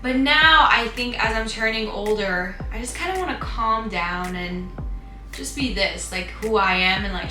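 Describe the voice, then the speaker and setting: A woman's voice: fairly high pitch, pushed and bright, echoey room. A woman in her twenties from Iran with an Armenian accent, chatting casually to her vlog audience while doing her makeup, full of "like" fillers. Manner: chatty, frequent "like" fillers, candid. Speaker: vlogger. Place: at home, getting ready.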